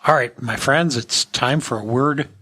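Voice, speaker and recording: Guy hosting a podcast, casual talk, bright punchy voice, middle pitch. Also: measured pace. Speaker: podcast host.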